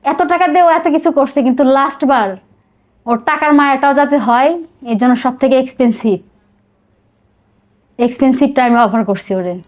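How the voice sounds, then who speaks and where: Bangladeshi woman, middle-aged podcast guest. Higher-pitched, rapid, emotional confessional delivery that circles back on itself. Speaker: podcast guest telling her life story. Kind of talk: personal confessional interview.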